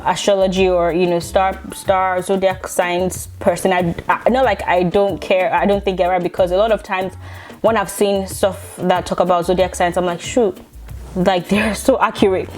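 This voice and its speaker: A woman's voice: medium pitch, a little mellow. Chatty Nigerian woman vlogging from her bedroom. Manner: chatty, laughs often, many restarts. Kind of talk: casual chat to camera.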